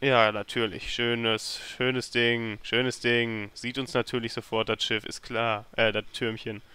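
A man's voice: medium pitch, level tone, a little slow, pushed and bright, smooth. A man hosting a let's play with casual, self-deprecating and grumbling commentary into a microphone. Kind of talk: casual video game commentary.

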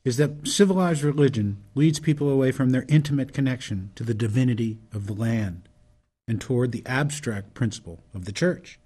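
A man's voice: medium pitch, a little fast, mellow, husky, lightly acted. An actor playing a cool, collected man of sixty in a radio drama. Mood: calm.

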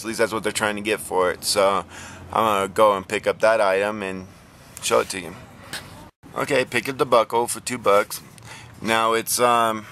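A man's voice: on the low side, pushed and bright, a little hiss or hum. A man hosting a casual vlog about flea market finds, talking plainly with many ums and restarts, recorded on a handheld phone camera. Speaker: flea market reseller vlogger.

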